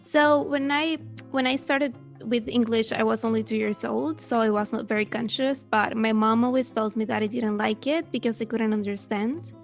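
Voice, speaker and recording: Guest: young woman, gravelly voice, keen storyteller, faint music behind. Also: on the high side, steady tone, moderate pace, hardly any pauses. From Venezuela. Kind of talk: casual interview chat.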